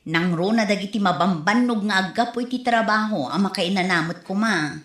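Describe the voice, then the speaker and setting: Woman plays a gossiping, confiding friend in a comedy drama, mid-pitched, conversational, roomy echo. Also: normal speed, fairly bright tone, lightly acted. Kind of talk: Ilocano comedy audio drama acting. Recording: echoey room.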